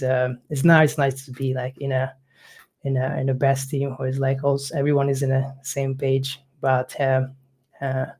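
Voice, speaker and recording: A man's voice: medium pitch, darkish tone. Guy coach, young, lots of ums and uhs, casual chat. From Hungary. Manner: halting, many fillers, non-native phrasing.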